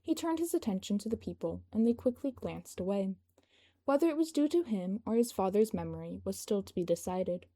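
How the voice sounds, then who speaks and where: A woman's voice: mid-range voice, lively intonation, a little fast, dark tone. A woman reading a scripted piece of fan fiction as its narrator.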